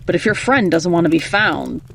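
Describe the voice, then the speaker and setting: An actor playing a cool, terse secret agent in a video game, with a clipped deadpan delivery. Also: male voice, on the high side, brisk, creaky, slight background noise. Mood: matter-of-fact.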